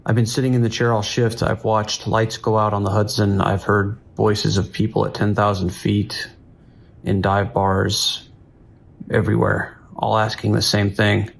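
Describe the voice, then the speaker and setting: Gravelly, low-voiced weary police dispatcher. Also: male voice, little pitch movement. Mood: weary, grim. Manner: measured, procedural, weary. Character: weary male New York police dispatcher, steady and grim. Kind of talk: audio drama, emergency dispatch acting.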